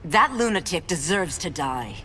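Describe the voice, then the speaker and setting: An actress playing a proud, wrathful Amazon warrior princess in a video game, speaking in an imperious, formal, dramatic way. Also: female voice, low-pitched, big pitch swings, normal speed, a bit bright, a little hiss or hum. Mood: angry. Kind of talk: cinematic video game acting.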